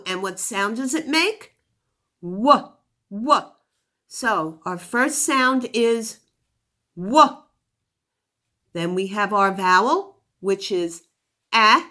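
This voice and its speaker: A woman's voice: on the high side, expressive, very slow, pauses often, pushed and bright. A woman teacher giving a phonics lesson to young children, encouraging them with praise and questions and sounding out words with exaggerated articulation.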